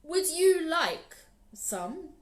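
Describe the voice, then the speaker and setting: A woman reading a storybook and voicing a generous male lion with a grand, drawn-out way of speaking. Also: very high-pitched, very animated, pitched above the performer's own voice, full character voice. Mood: proud. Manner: grand, drawn-out, warmly proud.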